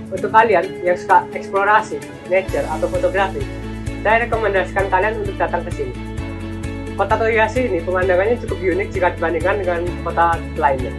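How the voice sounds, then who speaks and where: Very fast female student voice-over. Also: lowish voice. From Indonesia. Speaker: student narrator. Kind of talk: scripted voice-over.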